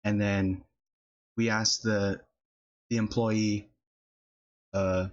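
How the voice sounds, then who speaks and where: A man's voice: on the low side, flat delivery, drawn-out words. A young man hosting a podcast, telling a rambling childhood story with many fillers and laughing as he goes. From Filipino family, California. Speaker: podcast host. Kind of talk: casual storytelling chat. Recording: dull lo-fi podcast audio.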